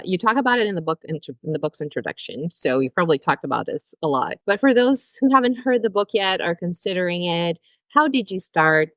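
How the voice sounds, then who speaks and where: A Brazilian-accented woman hosts a podcast chat, her voice on the low side for a woman. She speaks polite and chatty, with frequent laughs. The sound is dry and close, with no room echo. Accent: Brazilian Portuguese. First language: Portuguese. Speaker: podcast host. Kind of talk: friendly podcast interview.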